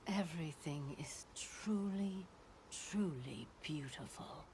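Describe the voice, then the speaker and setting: An actress playing an eerie, rapturous woman in a video game cutscene, with a drawn-out, reverent delivery. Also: low-pitched. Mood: hushed awe. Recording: clear noisy ambience around the voice.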